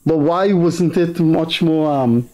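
An older man with a Guyanese accent, hosting a political talk show in a studio with measured, deliberate delivery. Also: fairly high pitch, a little mellow. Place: TV studio with virtual set.

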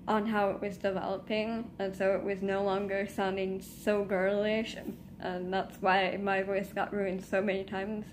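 A woman's voice: medium pitch, level tone, a little slow. Teen girl, casual vlog venting, rambling with restarts, phone mic at arm's length, faint background hiss. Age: teens. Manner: rambling, restarts and trailing asides. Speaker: vlogger. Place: small indoor room. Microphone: phone camera at arm's length.

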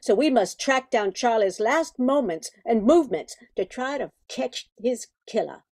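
A woman's voice: a little high, animated, a little slow, darkish tone. An older woman playing an uneasy, protective grown daughter in an amateur radio drama.

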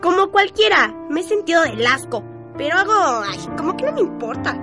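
A woman's voice: extremely high pitch, very animated, brisk, music in the background. A woman playing a sarcastic, flippant teenager in a radio drama, with exaggerated, drawling delivery. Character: flippant, sarcastic teenager hiding hurt feelings.

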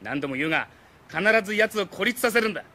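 A man playing a scheming young gang leader in a radio drama, rough, commanding and menacing. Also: high-pitched, expressive, fast talker, pushed and bright, heavily acted. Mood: cold, commanding.

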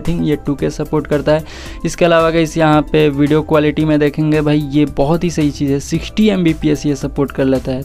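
Indian guy doing casual phone walkthrough, kinda high voice, monotone, music behind. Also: creaky. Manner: code-mixes English words, addresses viewers as guys. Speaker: tech YouTuber, host.

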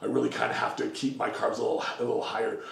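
A middle-aged diet coach explaining nutrition in a rambling, casual way, full of fillers and restarts. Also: male voice, medium pitch, monotone, racing, gravelly. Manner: rambling, many fillers and self-corrections. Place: home kitchen, indoors. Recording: echoey kitchen, mic at a distance.

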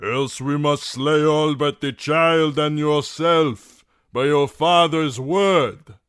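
Man as commanding fantasy captain, stern, formal, raised pitch, deliberate pace, audiobook. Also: steady tone, clean tone, no echo, studio quality. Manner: formal, loud, measured command.

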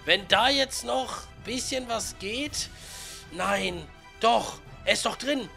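A man in his twenties with a cold, giving chatty, slangy, excited commentary on a video game over faint music. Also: high voice, slow, creaky. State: has a cold. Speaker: gaming YouTuber.

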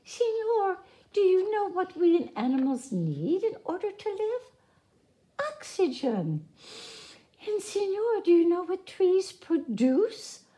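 A woman's voice: big pitch swings, slow pace, mellow, full character voice. A woman voicing a group of earnest tree porcupines in a storybook, asking and lecturing. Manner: high, questioning, lecturing.

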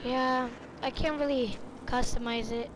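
High voice, casual improvised game roleplay, noisy gaming voice chat. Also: fairly even intonation, unhurried.

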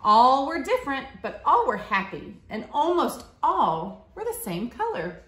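Expressive woman reading aloud, lively pitch. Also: a little slow. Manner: expressive, simple clear teacherly phrasing. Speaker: school staff member reading aloud to a child. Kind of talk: picture-book read-aloud with questions to a child. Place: living room, seated on a leather couch. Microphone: camera a short distance away. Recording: roomy, echoey home recording.

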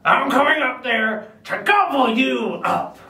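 Man playing a greedy bridge troll for a puppet show: bright, slow, roomy and distant. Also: extremely high pitch, animated, full character voice. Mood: gruff. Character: grumpy hungry male troll under a bridge. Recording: echoey room, mic far off.

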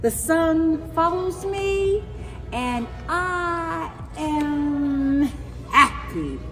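Woman playing an excitable storybook little girl, high-pitched. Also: very slow, full character voice. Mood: proud, happy. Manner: theatrical, expressive, emphatic repetition. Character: excitable young girl telling her own storybook adventure. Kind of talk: dramatic storybook read-aloud. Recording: echoey hall, music behind.

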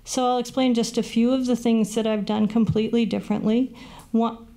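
Woman, 60s, political candidate, gravelly voice, earnest and measured, table mic, small meeting room. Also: on the high side, little pitch movement, warm tone. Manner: earnest, measured, with a brief laugh at running out of time. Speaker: political candidate, incumbent councilor. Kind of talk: forum closing statement. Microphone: table microphone on a stand.

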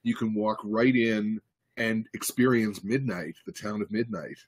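A man in his thirties with a husky voice co-hosts a home livestream, relaxed and quick with joking asides. He is heard through a desk webcam mic, and the sound is dry and close. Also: lowish voice, no echo, low-quality recording. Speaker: co-host of a game studio livestream. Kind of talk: casual live chat. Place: home room with bookshelves, indoors.

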